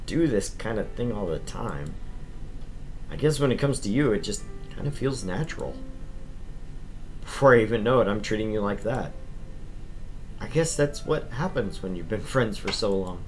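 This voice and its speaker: A man's voice: fairly low pitch, big pitch swings, a little slow, long pauses, darkish tone, a little hiss or hum. A man playing a wry, caring, self-deprecating teenage boy in a visual novel, speaking casually and expressively. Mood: tender, hesitant. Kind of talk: visual novel dialogue and first-person narration.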